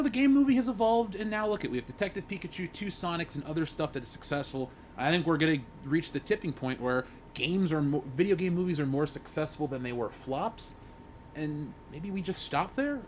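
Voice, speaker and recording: A man giving his opinions casually as a member of a discussion panel. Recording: faint rumbling background noise.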